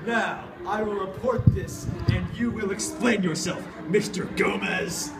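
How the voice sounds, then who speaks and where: A man playing a stern, skeptical factory boss with a deliberate manner in a comic horror story reading. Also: high-pitched, far from the mic. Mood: stern, doubting. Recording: off-mic with music behind.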